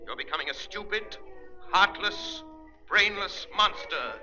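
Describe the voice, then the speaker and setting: Unseen crime-fighter: very forceful, bright voice, persuasive and mocking, 1930s radio melodrama, muffled vintage sound. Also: male voice, high voice, expressive, unhurried, soft background music, exaggerated voice. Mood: scornful, taunting. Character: shrewd, commanding, invisible crime-fighting avenger.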